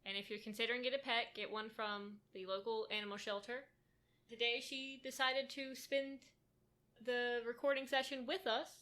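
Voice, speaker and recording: A woman with a soft voice co-hosting a casual podcast from a kitchen table at home. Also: fairly high pitch, flat delivery, normal speed. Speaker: podcast co-host. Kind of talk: casual chat.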